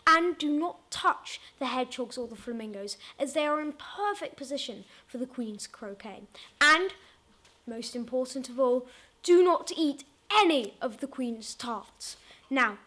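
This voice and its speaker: A woman's voice: high-pitched, lively intonation, measured pace, pushed and bright. A woman presenting a scripted safety announcement, addressing the viewers with instructions. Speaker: presenter of a mock safety video.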